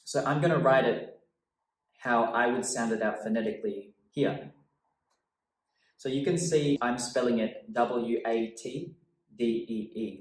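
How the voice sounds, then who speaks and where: A young man teaching a relaxed language lesson, with an easygoing manner and a habit of laughing at his own slips. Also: medium pitch. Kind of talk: bilingual video lesson. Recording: echoey room, mic at a distance.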